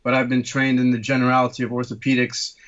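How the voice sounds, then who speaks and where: A man's voice: medium pitch, monotone, fast, fairly bright tone, highs cut off. A man in his thirties from Turkey, guest on a casual podcast, explaining things with many ums and uhs. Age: 30s. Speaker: guest orthopaedic surgeon.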